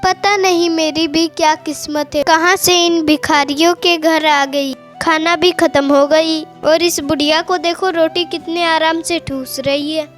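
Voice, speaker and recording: Cartoon villain: cruel scheming young wife, high voice, contemptuous, voiced by a woman; music bed. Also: steady tone, measured pace, hardly any pauses, fairly bright tone. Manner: sneering, contemptuous. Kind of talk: Hindi cartoon voice acting.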